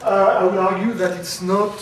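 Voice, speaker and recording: A man giving a conference talk, loud and halting, with many fillers. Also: high-pitched, fairly even intonation. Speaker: conference speaker. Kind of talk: academic presentation. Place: lecture room. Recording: very echoey, from far off.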